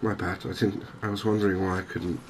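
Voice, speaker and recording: A man softly narrating a game in ASMR style, hushed and hesitantly thinking aloud. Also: low voice, flat delivery, a little fast. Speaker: gaming video host. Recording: noticeable background ambience noise. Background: game ambience.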